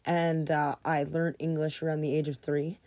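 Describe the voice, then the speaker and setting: A woman's voice: sits low, little pitch movement, moderate pace, a little mellow. A young woman whose first language is French, chatting casually as a podcast guest, hesitant and full of fillers. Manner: hesitant, full of "like" and "um", laughs often. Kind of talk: casual conversation.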